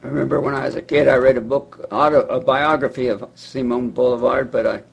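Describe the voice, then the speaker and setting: Husky man, hesitant and searching for words. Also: mid-range voice, steady tone, a little fast. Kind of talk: casual book club discussion.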